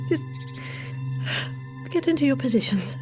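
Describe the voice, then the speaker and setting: A woman playing an anxious mother of young girls in an audio drama, brisk and strained as she hides her dread. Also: high voice, animated, music in the background. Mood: strained reassurance.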